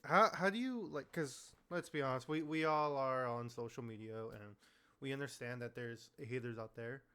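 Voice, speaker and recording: A man hosting an interview, asking questions in a relaxed, slightly halting way. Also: medium pitch, clean tone. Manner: relaxed, hesitant phrasing with restarts. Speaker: interview host.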